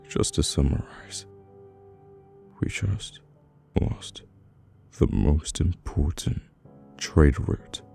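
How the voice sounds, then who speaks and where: An extremely deep, gravelly British mafia boss, acted by a man for an audio roleplay. He is cold, dominant and menacing, giving orders slowly with long deliberate pauses. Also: mellow, full character voice. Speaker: voice actor. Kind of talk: ASMR audio roleplay, slow dramatic monologue.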